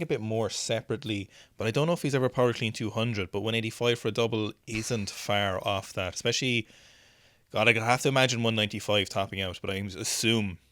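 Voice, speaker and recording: A man's voice: medium pitch, a bit bright, dry recording. A man hosting a strength sports news show, chatting casually through video clips and thinking aloud as he goes.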